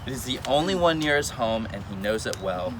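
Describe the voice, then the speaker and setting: Noisy outdoor take, man reading as commanding old wizard, medium pitch, deliberate halting delivery, amateur readers' theater. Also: animated, natural voice. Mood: matter-of-fact. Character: wise, commanding old male wizard guide. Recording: noisy outdoor recording with traffic hum.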